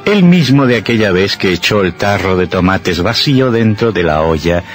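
Male narrator for radio horror: low, expressive, deliberate, with music underneath.

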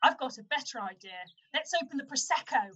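Woman doing sulky lovesick young brit in a panto, really high voice, dramatic. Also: expressive, fast, a bit bright. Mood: perking up. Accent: British. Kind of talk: radio pantomime acting.

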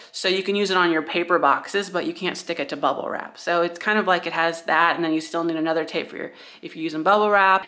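A man's voice: high-pitched, bright, forceful tone. A young man, a vintage shop owner, chatting casually and rambling to a handheld camera indoors. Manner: chatty and rambling, many "like"s and restarts. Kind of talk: casual vlog chat.

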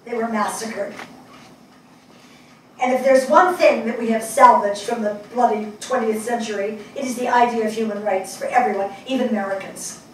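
An actress as an earnest older woman writer speaks deliberately in a staged reading. Her voice is fairly high. It is picked up from a distance in a very echoey theatre with faint noise. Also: close to an everyday voice. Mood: earnest, impassioned. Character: earnest New York woman writer, sympathetic listener. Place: small theatre stage before an audience.

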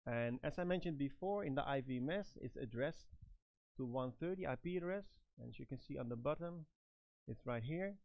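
A middle-aged man giving step-by-step product instructions in a halting, phrase-by-phrase manner. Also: medium pitch, animated, gravelly, no room sound, dull audio. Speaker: product trainer and presenter.

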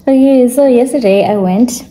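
A woman's voice: fairly high pitch, moderate pace, dark tone, rough recording. A woman in her thirties with a South African accent, chatting casually to the camera at home and mixing languages. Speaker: lifestyle vlogger. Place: open-plan kitchen and living room at home. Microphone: phone or camera at arm's length.

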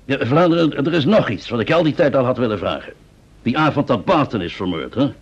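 An actor with a Netherlands Dutch accent playing a doubtful, probing senior police chief in an old radio play, formal and deliberate. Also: male voice, mid-range voice, lively intonation, breathy edge. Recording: dull, muffled old radio recording.

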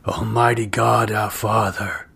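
A man voicing a grave, solemn stranger, a ghost of the old West, speaking slowly and deliberately in a storybook reading. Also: fairly low pitch, flat delivery, vocal fry, bright, forceful tone, husky, exaggerated voice.

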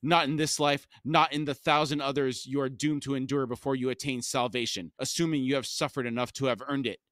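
A man voicing a cruel, ailing god in an audiobook-style reading, raspy and wheezing, with slow, imperious delivery. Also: mid-range voice, animated, fairly bright tone, dry recording, clean studio sound, natural voice. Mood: scornful.

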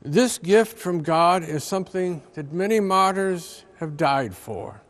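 Slow, measured older priest. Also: male voice, high-pitched, expressive. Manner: slow, measured reading from a book. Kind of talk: scripted reflection read aloud. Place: large stone church interior.

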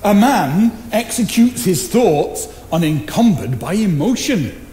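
A man's voice: high-pitched, very animated, takes it slowly, warm tone, heavily acted. An older actor playing a plain-spoken, opinionated working man, folksy and emphatic, in theatrical stage style. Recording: echoey theatre stage, background hiss.

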